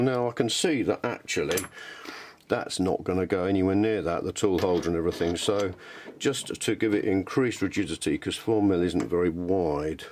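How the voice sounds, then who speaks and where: A man's voice: lowish voice, darkish tone. A British man giving a casual, unscripted how-to demonstration in a rambling, self-deprecating, chatty way. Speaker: hobbyist maker presenting a workshop tutorial. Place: home workshop indoors. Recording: clear background noise and clicks in a workshop.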